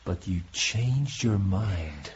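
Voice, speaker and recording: An actor playing a measured, probing questioner in a radio drama. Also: male voice, sits low, lively intonation, darkish tone, dull audio, slight background noise, exaggerated voice.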